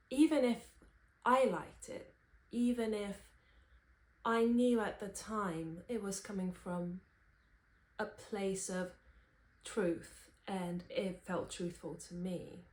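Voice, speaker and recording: A woman in her twenties from Romania, reflecting candidly and thoughtfully without a script, in halting phrases. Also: medium pitch, a little mellow. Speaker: vlogger, singer-songwriter. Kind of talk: unscripted personal reflection. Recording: roomy, echoey sound.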